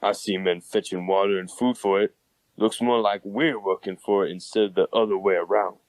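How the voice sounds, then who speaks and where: A man playing a gruff, skeptical Old West railroad laborer in a comic dub, talking in a folksy way. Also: lowish voice, fairly even intonation, moderate pace, no echo, close to an everyday voice, low-quality recording. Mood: grudging, defiant.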